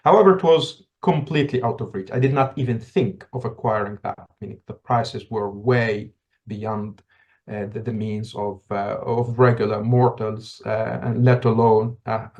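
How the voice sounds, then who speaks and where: A middle-aged man from Tunisia, a podcast guest telling his story in a reflective, halting way, with many restarts. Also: fairly low pitch, fairly even intonation, a little mellow, muffled recording. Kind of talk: casual interview talk.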